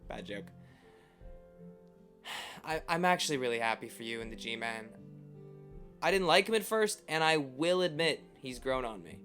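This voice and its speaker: An actor playing a teasing, affectionate young brother, talking casually and sincerely in an audio drama. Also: male voice, mid-range voice, expressive, normal speed, pauses often, fairly bright tone, faint music behind, natural voice. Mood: sincere, fond. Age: young adult. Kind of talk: audio drama acting, casual taped toast.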